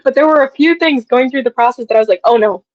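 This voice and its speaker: A woman's voice: sits high, quick pace, no room sound, lo-fi sound. A young woman chatting casually as an interview guest, effusive and given to telling things through quoted asides. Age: young adult.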